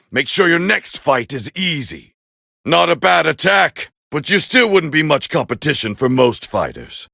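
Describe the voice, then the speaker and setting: A man playing a proud, hot-tempered alien warrior prince with a raspy voice, calling fights with scorn in an anime video game dub. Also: fairly high pitch, dry recording, clean studio sound, exaggerated voice. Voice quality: gravelly, raspy. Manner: haughty, scornful, biting, forceful. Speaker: fight commentator character.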